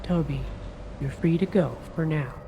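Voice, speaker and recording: An actress playing a patient female police detective who questions a suspect in a calm, coaxing, firm manner in an audio drama. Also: deep voice, moderate pace, background noise, natural voice. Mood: reassuring.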